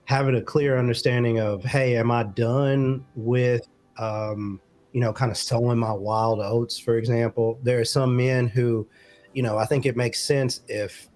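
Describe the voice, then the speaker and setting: A man co-hosting a talk show, giving relationship advice in a measured, explanatory way with casual phrasing. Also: medium pitch, steady tone, darkish tone, faint music behind.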